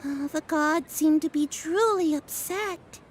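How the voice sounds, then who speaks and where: High-pitched, imperious girlish oracle. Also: slow, slight background noise. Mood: solemn, halting. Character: haughty female oracle voicing the gods, grandly insistent. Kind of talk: video game dialogue acting. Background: game music.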